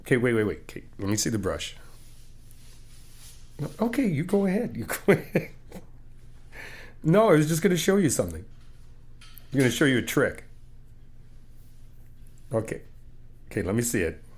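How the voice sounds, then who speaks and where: A man's voice: mid-range voice, moderate pace, long pauses. Encouraging man in an ASMR roleplay. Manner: encouraging and playful, laughs often; calm step-by-step explaining. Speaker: ASMR roleplay creator playing a friend. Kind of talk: ASMR friend roleplay, talking to the listener.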